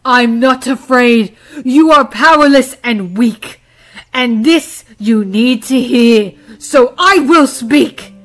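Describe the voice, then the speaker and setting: A woman voicing a wise, scolding female zebra who speaks in dramatic, deliberate rhyme in an audio drama. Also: on the high side. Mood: indignant. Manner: deliberate, rhyming, dramatic delivery. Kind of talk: fan audio drama acting.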